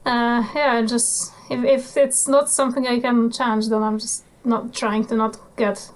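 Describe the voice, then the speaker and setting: A woman's voice: on the high side, flat delivery, rough recording. Laid-back Polish-accented young woman. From Poland. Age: 20s. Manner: laid-back, nonchalant, halting with many fillers. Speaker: guest motorcycle traveller. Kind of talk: casual interview chat.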